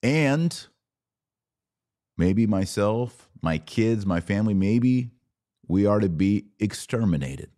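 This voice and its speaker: A man hosting a podcast, giving solo commentary on the news in an earnest, emphatic way with short, deliberate phrases. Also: lowish voice, expressive, warm tone.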